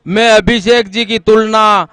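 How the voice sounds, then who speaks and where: ऊँची, साफ़ आवाज़ वाला क्रिकेट कमेंटेटर. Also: male voice, high voice, fairly even intonation, a little slow, sharp tone, smooth, dry recording. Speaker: cricket commentator. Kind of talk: live match commentary in casual banter.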